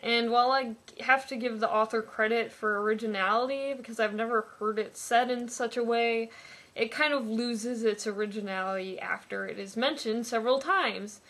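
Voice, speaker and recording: A woman's voice: a little high, fairly even intonation, normal speed, a bit bright. A young woman in her twenties giving a sarcastic, pedantic comic review of a book.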